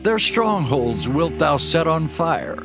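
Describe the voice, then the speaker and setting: An actor playing a stern, solemn prophet of ancient Israel in a dramatized scripture reading, declaiming with commanding gravity. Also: male voice, on the high side, sing-song, takes it slowly, vocal fry, heavily acted. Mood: sorrowful foreboding. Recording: dull recording with faint music behind. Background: faint music.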